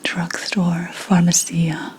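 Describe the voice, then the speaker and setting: A woman playing a secretive, indignant 17th-century witch in a breathy whispered ASMR roleplay, speaking deliberately with dramatic pauses. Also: on the low side, completely flat delivery, full character voice.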